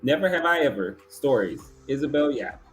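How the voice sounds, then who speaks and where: A man's voice: mid-range voice, lively intonation, moderate pace, faint music behind, rough recording. A man hosting an awards ceremony, announcing categories and finalists and reading out remarks.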